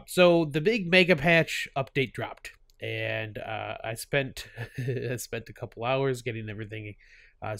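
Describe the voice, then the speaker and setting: A man's voice: medium pitch, vocal fry, a bit bright, no echo, clean studio sound. A man with a stuffy cold hosting his gaming channel, chatting casually over gameplay, laughing often and full of fillers and restarts.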